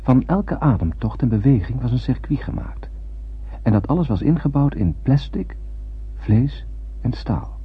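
An actor playing an astronaut who narrates a solemn space tale in poetic, measured radio play style. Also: male voice, mid-range voice, expressive, slightly rough. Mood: reflective. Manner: poetic, measured literary storytelling. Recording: muffled phone-like old radio recording, noisy.

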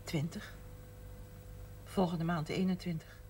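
An actress playing a proud, dutiful mother in her sixties in a naturalistic television drama, with a husky voice. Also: fairly low pitch, fairly even intonation, takes it slowly, warm tone, a little hiss or hum. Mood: guarded, weary. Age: 60s. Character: proud, dutiful mother of sixty-six, stubbornly practical.

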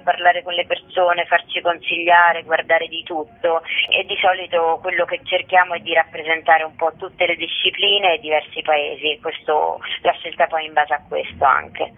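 Brief: female festival curator, informal interviewee manner, heard through a thin, narrow phone line. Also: lowish voice.

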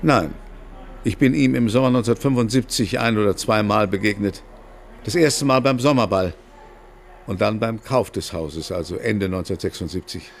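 Low, creaky-voiced, haunted writer. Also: male voice, normal speed, slight background noise. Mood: reserved. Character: subdued, haunted older male writer recalling a secret love. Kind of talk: radio drama acting, dialogue and recollection. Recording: studio radio drama with music underneath. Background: music.

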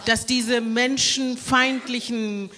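A woman's voice: a little high, fairly even intonation, slow pace, bright, forceful tone. A woman on a panel adding to another speaker's remarks, earnest and indignant. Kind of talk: public panel statement.

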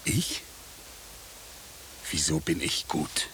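Stern, tormented husband, dramatically intense. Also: male voice, very deep voice, big pitch swings, extremely slow, sharp tone, full character voice. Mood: wary. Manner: grave, intense, theatrical. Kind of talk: mid-century German radio drama acting. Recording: hissy vintage 1950s radio recording.

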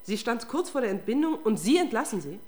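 A woman's voice: on the high side, very animated, brisk, dark tone. An actress playing a tough, relentless female police detective in a German radio crime drama, confrontational and sardonic. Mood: accusing.

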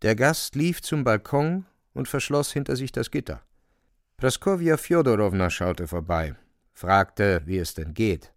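Gravelly male narrator, closely recorded. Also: on the low side, lively intonation, unhurried, dry recording, clean studio sound. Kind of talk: scripted literary narration in a radio drama.

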